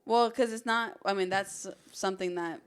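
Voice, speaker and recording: A woman's voice: medium pitch, normal speed, clear, resonant tone. A woman hosting a true crime podcast, telling the story dramatically with casual conversational asides.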